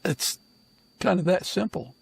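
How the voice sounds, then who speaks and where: A man hosting a casual interview podcast, speaking in an informal, halting way. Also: high voice, lively intonation, creaky, breathy edge. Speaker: podcast host and author.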